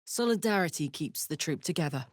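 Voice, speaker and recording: Video game tiefling fighter, female: blunt, battle-hardened, big-hearted, with wide swings of pitch and a close, dry studio sound. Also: lively intonation, a little fast, dry recording. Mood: casual, ready. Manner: blunt, informal, emotionally open soldier's talk. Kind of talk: video game character voice acting.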